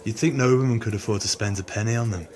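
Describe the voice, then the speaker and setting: An actor playing a jealous, scheming swindler in an audio play, mock-genteel and bossy. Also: male voice, lowish voice, fast, darkish tone, close to an everyday voice. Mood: falsely agreeable. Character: scheming, jealous swindler, impatient and falsely agreeable.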